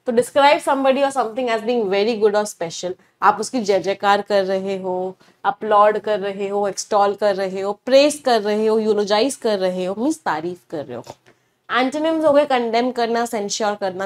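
A young woman teaching vocabulary in a studio lesson, explaining in mixed Hindi and English and answering her own questions. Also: a little high. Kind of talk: online exam-prep lesson. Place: studio, standing before a large screen.

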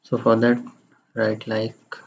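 A man narrating a step-by-step software screencast in a halting, plain-spoken way. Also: medium pitch, completely flat delivery, gravelly. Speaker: tutorial presenter. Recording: muffled lo-fi microphone, faint background noise.

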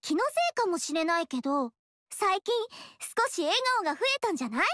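A woman's voice: very high voice, very animated, brisk, no echo, heavily acted. An actress voicing a cheerful, friendly young female monk in a game. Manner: bright and friendly. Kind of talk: game dialogue. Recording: dry studio voice.

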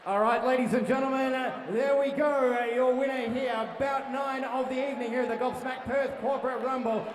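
A man announcing a boxing bout and interviewing the winner in the ring on a handheld mic, drawing out his calls. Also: fairly even intonation. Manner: booming, drawn-out announcements. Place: large ballroom boxing event. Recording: echoey hall with crowd noise.

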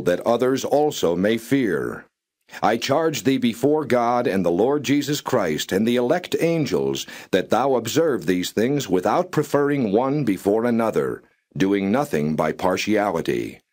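A man narrating the Bible as a straight, non-dramatized reading, in formal, measured and solemn phrasing. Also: mid-range voice, darkish tone.

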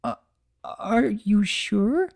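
Timid, apologetic young mare for a storybook performed by a man: high, stammering, swooping delivery. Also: animated, extremely slow, gravelly, darkish tone, exaggerated voice. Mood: panicked, apologetic. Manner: hesitant, stammering. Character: timid, sweet young female pegasus pony, anxious and apologetic.

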